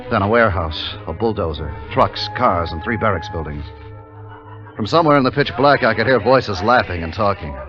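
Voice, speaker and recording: An actor playing a resolute army captain who narrates a wartime raid in a terse, suspenseful 1950s radio drama style. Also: male voice, sits low, natural voice. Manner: terse, suspenseful, clipped phrasing. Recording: dull vintage radio recording with music.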